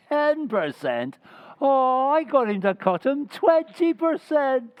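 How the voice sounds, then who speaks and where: A man playing a dim-witted, cheerful tavern regular in an old-time radio comedy recreation, talking slow and dopey. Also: very high-pitched, very animated, clear, resonant tone, in a large hall, lo-fi sound, heavily acted. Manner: slow, dopey, pleased with himself.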